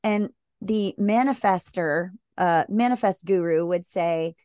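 A woman co-hosting a faith podcast in casual chat, talking in quick bursts and acting out quoted people. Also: fairly low pitch, a little mellow, clear, resonant tone, dry recording, studio quality. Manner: chatty, fast bursts, acts out quoted people. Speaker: podcast co-host.

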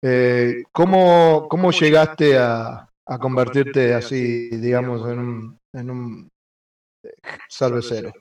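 Joking host, off-mic. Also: male voice, mid-range voice, fairly even intonation, slow, a little mellow. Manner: loose, hesitant questions, joking. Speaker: host of the interview channel. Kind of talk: casual interview hosting. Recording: voice distant from the mic.

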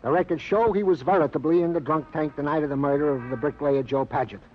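A man's voice: a little high, quick pace, a little hiss or hum, natural voice. An actor as a plaintive, florid male police sergeant. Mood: formal, mournful. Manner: wordy, pompously formal, plaintive. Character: earnest, sorrowful, long-winded police sergeant, comically florid. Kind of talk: 1950s radio drama acting. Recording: muffled old radio recording.

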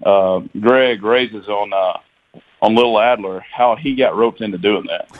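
A man's voice: lowish voice, unhurried. A man calls in to a radio show, speaking hesitantly and restarting often. His voice comes through a tinny phone line. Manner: hesitant, many fillers and restarts. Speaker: caller.

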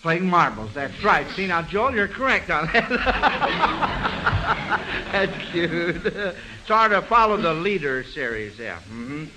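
A man's voice: high voice, very animated, slow pace. A man hosting a 1940s radio quiz for children, conversational, folksy and avuncular. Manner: folksy, chuckling, repeats answers, avuncular toward children. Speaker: radio quiz show host. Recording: vintage radio broadcast, faint noise.

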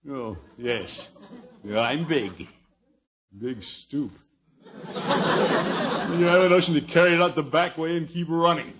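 A man's voice: a little high, slow, long pauses, noisy background. An actor playing a pompous, flustered middle-aged uncle in vintage radio comedy, blustering and chuckling as he mutters asides. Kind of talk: 1940s-50s radio comedy acting. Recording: muffled vintage radio recording.

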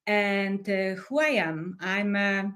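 A woman's voice: mid-range voice, slow pace, a bit bright. A woman from Poland with a Polish accent, a life coach and podcast guest who speaks in a thoughtful way and often searches for words. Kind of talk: podcast interview.

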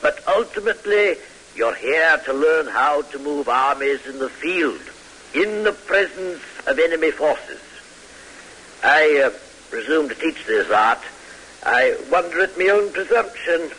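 An actor playing a frail, fussy older military professor with a hesitant, pompous way of lecturing in an old radio drama. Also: male voice, on the high side, animated, unhurried, a bit bright, rough recording. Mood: pompous, dry. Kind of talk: 1940s-50s radio drama acting. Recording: hissy vintage radio recording.

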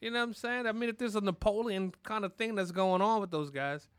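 A man hosts a casual podcast in a high, bright voice, ranting loudly with plenty of swearing. The sound is dry and close on the mic. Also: quick pace, clear, resonant tone, dry recording. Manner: loud, profane, rambling. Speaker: podcast host. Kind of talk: profane casual podcast rant.

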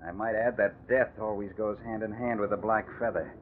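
An actor playing a tough, impatient, husky gangster henchman, curt and threatening, in a 1940s-style radio drama. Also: on the low side, fairly even intonation, fairly quick, a little hiss or hum, natural voice. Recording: phone-like old radio recording.

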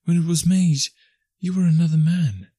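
A gentle young woman in a storybook reading, her voice lifted high and light as she speaks softly but with steady resolve, as a male narrator puts her on. The delivery is earnest and sorrowful, dry and close with no room around it. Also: normal speed, breathy edge, no room sound.

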